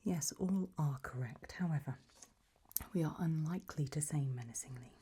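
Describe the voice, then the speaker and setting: A woman doing a whispered ASMR roleplay as an examiner, hushed and deliberate, pausing between short phrases. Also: low-pitched, slight background noise. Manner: slow, deliberate, softly instructive with long pauses between options.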